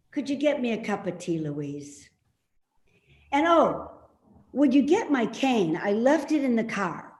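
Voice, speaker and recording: Woman acting lively, high-voiced, sharp-tongued elderly mother. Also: takes it slowly, long pauses. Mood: impatient. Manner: dry, needling, dismissive. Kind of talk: readers theater stage-play acting.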